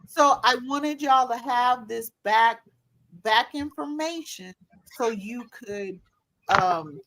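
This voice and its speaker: A woman's voice: medium pitch, fairly bright tone, slight background noise, rough recording. A woman hosting a livestream, talking casually with slang and poking fun at herself. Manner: casual, slangy, self-mocking.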